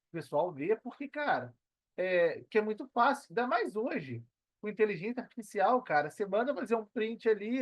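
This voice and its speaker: A man's voice: on the high side, dry recording. A Brazilian man talking casually as an interview guest, using slang and repeating phrases for emphasis. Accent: Brazilian Portuguese. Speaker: podcast guest, digital marketer.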